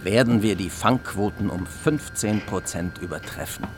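An actor playing a sombre investigator who narrates a ship inspection in measured, literary radio drama style. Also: male voice, on the low side, noisy background, lightly acted. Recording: loud music bed under voice.

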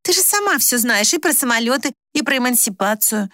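A woman's voice: fairly high pitch, lively intonation, a little fast, sharp tone, dry recording, studio quality, voice pushed up. A woman voicing an exasperated adult daughter in an audiobook, pleading and reasoning with a difficult mother. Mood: coaxing. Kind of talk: dramatised audiobook reading.

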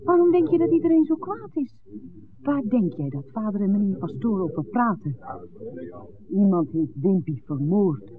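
A woman's voice: medium pitch, sing-song, moderate pace, phone-quality sound, a crowd in the background, lightly acted. An actress playing an outspoken young village woman, an innkeeper's daughter, in a 1960s radio drama. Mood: indignant. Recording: thin, hissy old radio recording.

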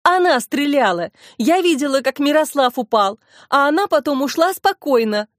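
A frightened young woman in a crime audiobook, acted out by a female narrator. Her voice is high and shrill, sobbing and pleading. The sound is close and dry. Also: animated, moderate pace, no echo. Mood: panicked. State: crying. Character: hysterical frightened young woman, sobbing accused press secretary.

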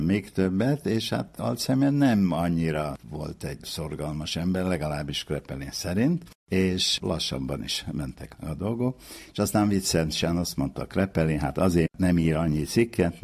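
Man, very low husky voice, informal expert explanation, emphatic storyteller. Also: darkish tone. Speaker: expert interviewee. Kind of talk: informal explanatory talk on medical history.

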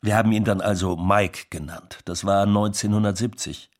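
Actor as low-voiced male music manager, reminiscing. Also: fairly even intonation, normal speed, close to an everyday voice. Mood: matter-of-fact. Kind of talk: radio play narration.

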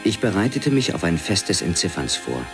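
Intense bookish guy telling his story in a radio play, low voice that moves around a lot, dramatic. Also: big pitch swings, normal speed. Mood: brooding. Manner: dramatic, literary. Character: intense, bookish man recounting dreamlike memories. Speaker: first-person narrator. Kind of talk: literary narration in a radio play. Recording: loud music behind the voice.

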